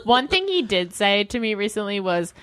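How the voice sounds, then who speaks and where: A woman's voice: medium pitch, sing-song, moderate pace, clear, resonant tone. A woman co-hosting a comedy podcast in casual chat, playful and self-deprecating, with some swearing.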